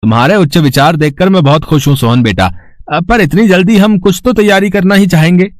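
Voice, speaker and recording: A man's voice: sits high, brisk, no room sound, lightly acted. A concerned, trusting family man in a cartoon tale, played by a man. He speaks in a questioning, conciliatory way, recorded dry without room sound. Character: trusting, concerned older brother and husband.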